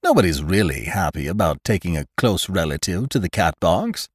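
A man's voice: sits low, sing-song, moderate pace, slightly rough, no room sound, clean studio sound, heavily acted. A man voicing a pompous, exuberant elderly doctor in a storybook reading, with an emphatic, lecturing, oratorical manner. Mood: gentle, sympathetic. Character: pompous, exuberant elderly male doctor preaching utopian order.

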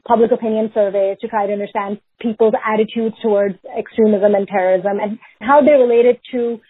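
A woman from Pakistan, a researcher answering interview questions in a measured way, often hedging with "sort of" and "if you will". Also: mid-range voice, fairly even intonation, husky, no echo. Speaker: researcher and guest. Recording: phone-like remote line.

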